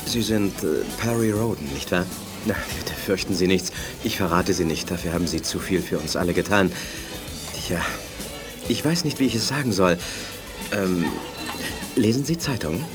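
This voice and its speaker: An actor playing an earnest, polite and idealistic telepath in a 1980s German radio play, speaking haltingly. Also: male voice, low-pitched, lightly acted. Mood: hesitant, nervous. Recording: music behind studio radio drama.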